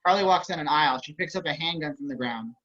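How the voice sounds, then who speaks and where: Guy reading script directions on a video call, bright highish voice, wry casual narrator. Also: quick pace. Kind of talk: scripted narration at an online table read. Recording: dull video-call audio.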